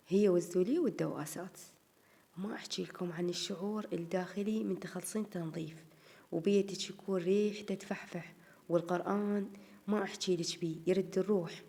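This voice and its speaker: Tired Iraqi woman chatting fast. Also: on the low side, vocal fry, mellow. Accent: Iraqi Arabic. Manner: chatty, addresses viewers as girls. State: tired, slightly strained voice. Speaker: home vlogger. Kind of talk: casual voice-over narration of a home routine.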